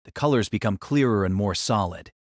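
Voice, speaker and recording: A man's voice: on the low side, fairly quick, no room sound, studio quality. A man narrating a fantasy story in a dramatic, theatrical, scripted style.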